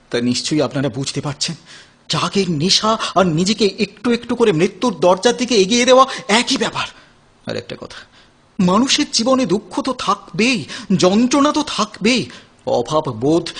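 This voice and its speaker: An actor in a Bengali audio play voicing an emotional, tender man recovering from addiction, with a husky voice and a dramatic, pausing, poetic delivery. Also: high-pitched, sing-song, fairly bright tone, exaggerated voice. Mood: earnest, pleading.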